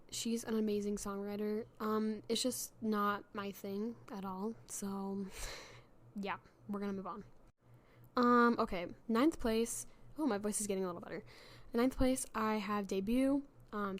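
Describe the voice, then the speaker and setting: A woman's voice: medium pitch, fairly even intonation, measured pace. A young woman hosting a casual solo podcast, chatty and self-deprecating, with many fillers and restarts, her voice worn from being sick. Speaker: podcast host.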